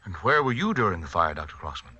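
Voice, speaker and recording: Sharp, low-voiced probing doctor. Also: male voice, very animated, fast talker, lo-fi sound, heavily acted. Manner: polite, hesitant, inquisitive. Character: courteous, probing visiting doctor, male. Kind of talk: 1940s radio mystery acting. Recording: faintly noisy old radio recording.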